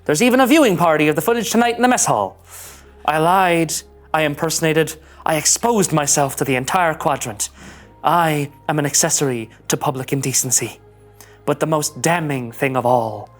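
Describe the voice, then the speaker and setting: A woman's voice: low-pitched, moderate pace, soft background music. A woman playing an earnest, easily flustered young male starship ensign in a melodramatic sci-fi script reading. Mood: solemn, resolved. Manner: melodramatic, indignant monologuing. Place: studio.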